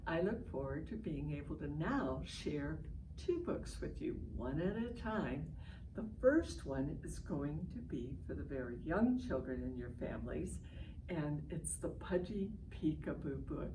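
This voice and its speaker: Older American female educator, emphatic and instructive storyteller, informal online lesson, distant roomy sound with clear noise. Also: deep voice, expressive, unhurried. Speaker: literacy educator hosting an online session. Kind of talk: informal educational presentation to families. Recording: echoey, distant mic, noisy online stream.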